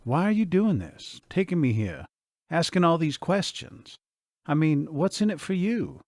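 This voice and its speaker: A man's voice: a little high, very animated, a little slow, no room sound, studio quality, pitched above the performer's own voice. A man voicing a wary, evasive young woman who ran away from home, in an audiobook. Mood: probing. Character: young woman runaway debutante, guarded. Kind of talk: audiobook character voice.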